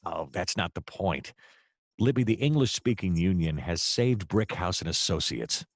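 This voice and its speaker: An actor playing a wry, gallant private detective who narrates a noir radio play in dramatic, formal phrasing. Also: male voice, low-pitched, animated, normal speed, no echo, clean studio sound. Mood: earnest, gallant.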